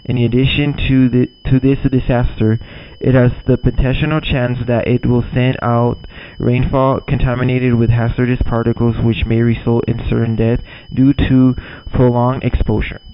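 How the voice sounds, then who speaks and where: A man playing a grave emergency broadcast announcer, reading formally and deliberately from a script, occasionally stumbling over words. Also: mid-range voice, flat delivery, a little hiss or hum, natural voice. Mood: urgent, instructive. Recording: dull lo-fi audio.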